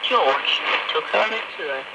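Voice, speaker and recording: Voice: mid-range voice, lively intonation, unhurried, creaky. Radio documentary narrator, scripted delivery, far-off sound through very noisy shortwave reception.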